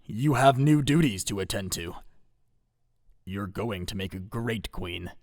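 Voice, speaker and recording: A man playing a stern, gravelly mentor. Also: lowish voice, lively intonation, unhurried, a little mellow, dry recording, studio quality. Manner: solemn, firm. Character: stern mentor and kingdom guardian.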